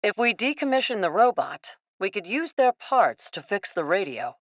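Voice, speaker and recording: A woman's voice: medium pitch, very animated, moderate pace, dry recording, close to an everyday voice. A woman playing a falsely kind, scheming captain in a radio play, with a sugary, insincere manner. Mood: sly, coaxing.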